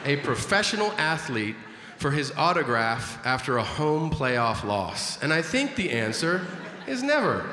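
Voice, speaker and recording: A man's voice: on the high side, big pitch swings, very noisy. A man telling a long stand-up story on a large theater stage with a handheld mic, slipping into voices for the people in it. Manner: unhurried storytelling with voiced impressions. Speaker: stand-up comedian. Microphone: handheld microphone. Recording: echoey hall with loud audience.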